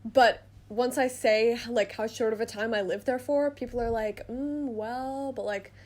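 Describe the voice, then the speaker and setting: Young woman, podcast co-host, casual chat, filler-heavy, fairly high pitch. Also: fairly even intonation, unhurried, darkish tone. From United States. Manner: chatty, many "like" fillers, laughs often. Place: indoors, in person with co-hosts.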